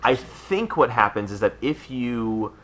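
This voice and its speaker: A man's voice: mid-range voice, sing-song, unhurried, a bit bright. A man co-hosting a podcast, talking casually through video game news. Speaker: podcast co-host.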